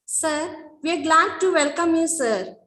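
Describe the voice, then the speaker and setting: A woman's voice: extremely high pitch, unhurried, sharp tone, roomy sound. A woman hosting an online session, speaking formally and politely, often adding "sir". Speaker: event host. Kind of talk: formal welcome. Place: video call.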